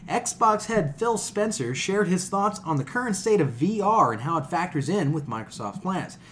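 A man's voice: high voice, lively intonation, moderate pace, a bit bright, slight background noise. A man co-hosting a casual gaming podcast, joking in crude, sweary slang. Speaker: podcast co-host.

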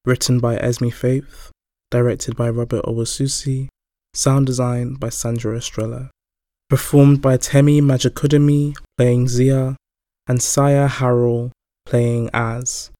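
A man's voice: mid-range voice, level tone, unhurried, mellow, no room sound, clean studio sound. A man reading scripted credits as an announcer.

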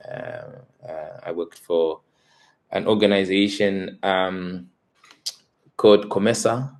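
Very deep-voiced man from Zambia, hesitant. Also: animated, takes it slowly, creaky. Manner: hesitant, many fillers and restarts, soft laughs. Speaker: guest, college tutor. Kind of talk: relaxed interview answers.

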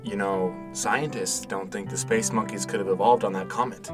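A man playing a gentle, eager, protective father in an audio drama, speaking softly and haltingly in a naturalistic style. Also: fairly low pitch, fairly even intonation, distant mic, over music, close to an everyday voice. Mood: tender, wondering. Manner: hesitant, soft-spoken, stammering when worked up.

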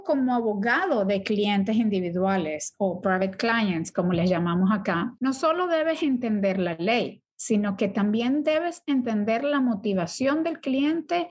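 Podcast guest: woman, 40s, Venezuelan accent, husky, measured and explanatory. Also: a little high, darkish tone. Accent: Venezuelan Spanish. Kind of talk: podcast interview.

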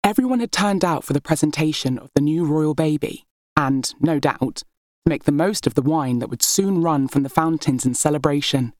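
A woman narrates a historical novel in a low-pitched voice. Her delivery is scripted, expressive storytelling, and the recording is very dry with no room sound. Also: normal speed, no room sound. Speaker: audiobook narrator.

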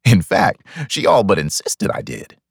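A man's voice: deep voice, huge pitch swings, a little slow, creaky, husky, dry recording, clean studio sound, full character voice. A man voicing a confident, teasing bachelor club owner in an audiobook story. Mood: confident, persuasive.

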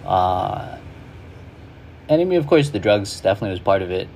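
A man's voice: lowish voice, very animated, normal speed, creaky, darkish tone, slight background noise. A man, a guest in an interview, reflecting in a loose way full of "like" and "you know". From Hawaii.

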